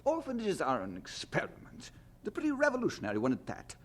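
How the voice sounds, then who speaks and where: Husky, fussy man, wildly animated. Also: high voice, fast, exaggerated voice. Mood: skeptical, remonstrating. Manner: fussy, remonstrating. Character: fussy, cautious, skeptical man, a conservative colleague. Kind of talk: audio drama acting.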